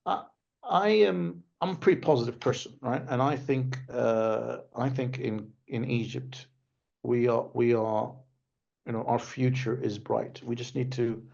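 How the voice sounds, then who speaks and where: A man speaking over a webinar call, his mid-pitched voice carrying a gravelly edge. He talks in a loose, conversational way, often checking in with "right?" and circling back over his points. The audio sounds a little dull, as webinar sound often does. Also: steady tone, measured pace, a little mellow. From Denmark. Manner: conversational, frequent "right?" tags and restarts. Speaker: guest speaker, tech executive. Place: remote video call. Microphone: video call microphone.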